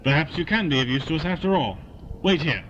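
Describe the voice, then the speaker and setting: A man's voice: on the high side, animated, fast talker, background noise, natural voice. A man playing a stern, suspicious army colonel in an audio drama, clipped and commanding. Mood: brisk, commanding. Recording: dull lo-fi with loud music behind.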